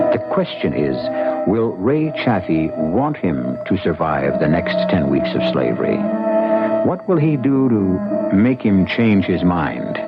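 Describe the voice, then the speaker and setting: An older American man hosting a radio mystery, narrating from a script in a theatrical, wry way. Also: sits low. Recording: muffled radio broadcast with loud music. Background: loud dramatic music.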